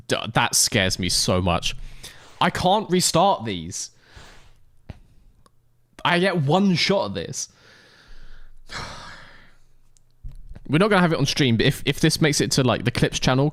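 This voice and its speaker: Lively playful male game streamer. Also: medium pitch, measured pace, long pauses, no room sound, clean studio sound. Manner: playful, jokey, talks to chat. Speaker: streamer playing a racing game. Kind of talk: live gameplay commentary.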